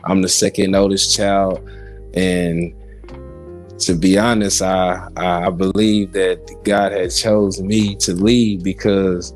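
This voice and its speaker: A man in his forties with an American accent speaks in a low voice. He is a guest giving personal testimony in an interview. Guitar music plays softly behind his words. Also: little pitch movement, takes it slowly. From Kansas City, Missouri, United States. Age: 40s.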